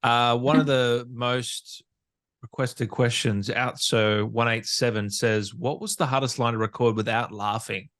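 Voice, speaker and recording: A man's voice: medium pitch, fairly even intonation, measured pace, hardly any pauses, a bit bright, dry recording. A man hosting an interview in a casual, chatty style, putting questions to his guest. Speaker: interview host.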